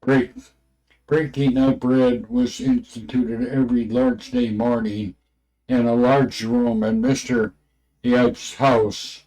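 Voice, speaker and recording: A man reading an old religious text aloud in a halting way, stumbling over words and names. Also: mid-range voice, lively intonation, dark tone, low-quality recording.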